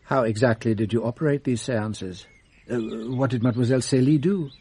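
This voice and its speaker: A man's voice: medium pitch, a little fast, dark tone, breathy edge, natural voice. A man playing a shrewd detective in a radio drama, questioning in a probing, dryly sardonic way. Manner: probing, wry.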